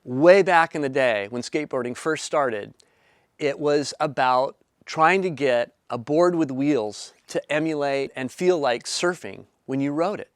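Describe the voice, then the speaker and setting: Earnest man in his fifties, smooth voice. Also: mid-range voice, animated, a little slow, no echo, clean studio sound. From Southern California. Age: 50s. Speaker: company founder and inventor. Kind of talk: pitch video talk to camera.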